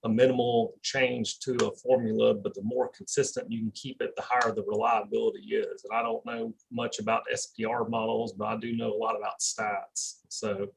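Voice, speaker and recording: Gravelly man with a flat delivery. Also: lowish voice, moderate pace, few pauses, slight background noise, rough recording. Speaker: commissioner. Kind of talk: explanatory meeting comment.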